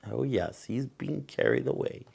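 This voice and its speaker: A man reading a picture book aloud to children as a storyteller, gently and deliberately, pausing between phrases and adding small asides. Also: mid-range voice, lively intonation, gravelly, husky.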